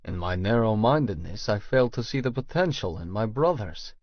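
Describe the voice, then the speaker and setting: A man's voice: fairly low pitch, expressive, fairly quick, no echo, highs cut off. A man reading scripted first-person fiction as an audiobook narrator.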